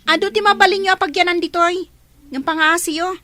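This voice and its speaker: A woman's voice: very high voice, steady tone, a little fast, pushed and bright, no room sound. A woman playing a spoiled, hot-tempered teenage daughter in a radio drama, whiny, petulant and argumentative. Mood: irritated, haughty.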